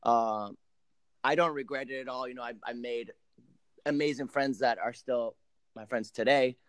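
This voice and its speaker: A man's voice: mid-range voice, normal speed, no room sound, studio quality. A man in his late twenties from Argentina, a native Spanish speaker, telling his story casually as a guest in an interview. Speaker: professional soccer player, podcast guest.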